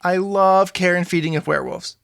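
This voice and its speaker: A man's voice: high-pitched, fairly even intonation, brisk, vocal fry, a bit bright, no echo, studio quality. A man playing an earnest, awkward young superhero sidekick who politely rambles through explanations in an audio drama. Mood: earnest, explaining. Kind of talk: scripted audio drama dialogue.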